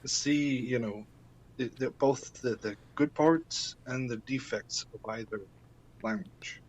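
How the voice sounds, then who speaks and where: A man's voice: mid-range voice, takes it slowly, slightly rough, low-quality recording. An Icelandic man, a native Icelandic speaker, talking as a podcast guest in a casual interview, hesitant, with many fillers and restarts.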